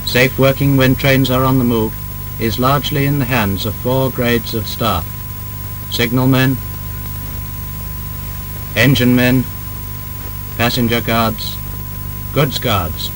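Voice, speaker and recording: Man, British accent, 1930s instructional narration, formal, emphatic, measured, mid-pitched, slightly noisy old film sound. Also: steady tone.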